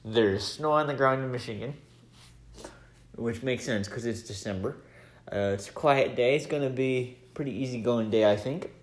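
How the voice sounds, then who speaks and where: An American man talks as the host of a casual personal podcast. His delivery is chatty and rambling, with a medium-pitched voice. It sounds like an informal audio diary recorded at home. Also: normal speed. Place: indoors at home, room with laminate floor.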